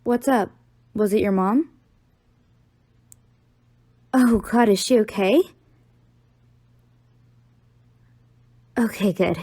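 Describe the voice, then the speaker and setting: A woman's voice: medium pitch, takes it slowly, mellow, no room sound, studio quality. A young woman playing an affectionate, teasing college-age girlfriend in an audio roleplay, talking playfully to the listener. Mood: caring.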